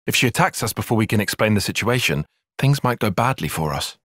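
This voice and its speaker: Earnest young tactician, slightly husky. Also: male voice, lowish voice, lively intonation, fairly bright tone, dry recording, studio quality. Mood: analytical, composed. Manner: precise, formal, measured phrasing. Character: young male soldier tactician, analytical, formal, earnest. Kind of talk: video game cutscene voice acting.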